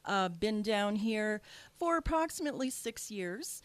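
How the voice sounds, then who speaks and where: A woman with a Canadian accent hosts a radio talk show, speaking in a loose, chatty way full of ums and restarts. Her pitch sits in a middle range, and the studio sound around her is dry and close. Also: lively intonation, unhurried, no echo. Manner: chatty, many fillers and restarts. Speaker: radio show host, psychic medium.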